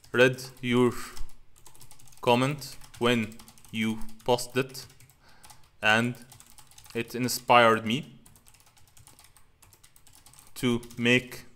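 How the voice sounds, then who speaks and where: A man in his thirties, a native Arabic speaker, talks through viewer comments in a loose, rambling way, stopping and restarting often. His voice sounds bright and forward. He is close on a headset mic in a small home room. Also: medium pitch, clean tone. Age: 30s. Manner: rambling, halting, many fillers and restarts. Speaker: channel host answering comments.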